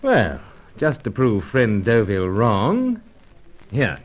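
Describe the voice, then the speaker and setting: An actor playing a suave British secret agent in an old radio serial, with clipped gentlemanly banter and first-person narration. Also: male voice, mid-range voice, wildly swooping pitch, takes it slowly, heavily acted. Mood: breezy, firm. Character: suave pipe-smoking British secret agent narrating his own adventure. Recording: muffled noisy old radio recording.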